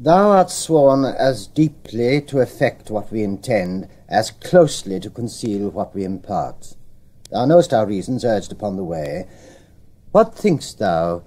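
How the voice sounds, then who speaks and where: An actor playing a smooth-talking, scheming nobleman in a Shakespearean audio drama, measured and commanding. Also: on the low side, expressive, warm tone. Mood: conspiratorial, commanding. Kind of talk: Shakespearean radio drama acting.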